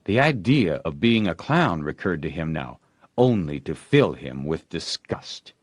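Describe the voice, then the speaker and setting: Slow, low-pitched male audiobook narrator, very animated. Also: no echo. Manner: expressive, theatrical storytelling. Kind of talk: dramatic literary audiobook narration.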